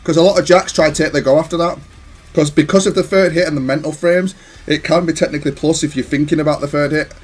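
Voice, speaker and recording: A man giving casual, analytical commentary on his own gameplay, self-critical but matter-of-fact and even-tempered. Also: fairly high pitch, fast talker. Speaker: gaming content creator commentating on own matches.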